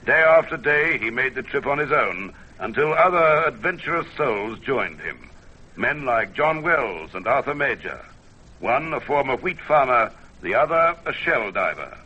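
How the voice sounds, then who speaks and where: Male documentary narrator: solemn, measured, dramatic, with forceful bright tone and wide intonation, vintage radio sound. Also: mid-range voice, slightly rough, muffled recording. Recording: band-limited vintage radio recording, music behind. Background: music.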